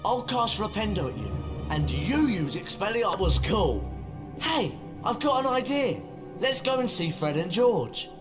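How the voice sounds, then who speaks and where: An actor playing a blunt, excitable schoolboy wizard in a video game, with an English accent. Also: male voice, high voice, a little slow. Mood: eager. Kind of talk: video game character acting. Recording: loud game music behind the voice.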